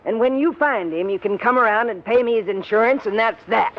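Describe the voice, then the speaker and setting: An actress playing a bitter, domineering middle-aged working-class wife in 1950s radio drama, brusque and scornful, with ungrammatical speech. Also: female voice, a little high, fairly quick, a little hiss or hum, natural voice. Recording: dull old 1950s radio recording.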